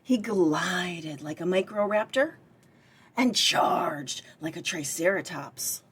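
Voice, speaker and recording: Woman reading a children's story, slightly husky. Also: fairly low pitch, slow. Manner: expressive, with chatty asides to young listeners. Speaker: library director and former children's librarian reading aloud. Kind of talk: children's story-time read-aloud.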